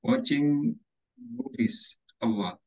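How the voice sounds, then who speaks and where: A man's voice: mid-range voice, slow, darkish tone, breathy edge, lo-fi sound. A man, an English tutor speaking to an online class in a theatrical, emphatic way. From Indonesia. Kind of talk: presenting to a class. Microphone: video call.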